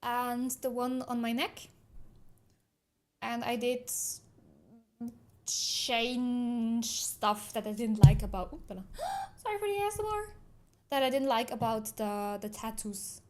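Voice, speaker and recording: A woman's voice: a little high, expressive, slow pace, long pauses. A woman, chatting casually on a livestream, reading viewer comments aloud and telling rambling stories with frequent laughs. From Switzerland. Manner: chatty, rambling storyteller who laughs often.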